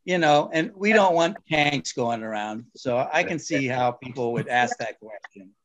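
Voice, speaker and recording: A man on a video call panel, speaking haltingly and repeating words as he restarts his sentences. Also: mid-range voice, lively intonation, fairly bright tone, slight background noise, poor audio. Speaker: breeder panelist.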